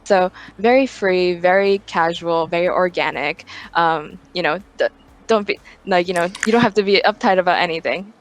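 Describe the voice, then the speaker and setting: American interviewer hosting an online roundtable. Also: male voice, high-pitched, normal speed. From United States. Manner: friendly, hesitant with many ums. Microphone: video call.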